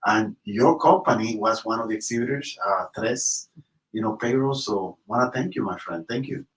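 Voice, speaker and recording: A man's voice: fairly low pitch, moderate pace, recorded from a distance. Effusive man hosting an interview, roomy sound. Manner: warm, effusive, many restarts. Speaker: interview host. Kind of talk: casual interview. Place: high-rise building in the city.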